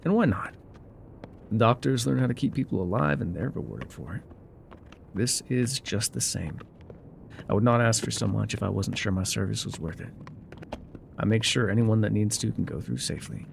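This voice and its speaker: A man playing a laconic, weathered frontier guide in a calm, haunted, musing ASMR roleplay, with a low gravelly voice and folksy pauses. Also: slight background noise. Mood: matter-of-fact. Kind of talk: immersive ASMR roleplay monologue.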